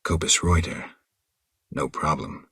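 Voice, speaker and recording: A stoic monster hunter from a fantasy game, with an extremely low, raspy voice. He speaks in terse, guarded, deadpan phrases, gruff and dry, recorded close and without any room sound. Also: slow, no echo, heavily acted. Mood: terse, businesslike. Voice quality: gravelly, husky. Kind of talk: fantasy video game voice acting.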